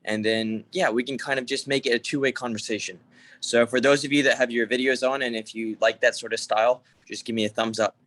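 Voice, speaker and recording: A man's voice: medium pitch, fairly even intonation, brisk, fairly bright tone, no room sound. A young man from Hong Kong giving a casual conference talk over a video call, informal and full of fillers and restarts. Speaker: conference speaker. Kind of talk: casual online presentation.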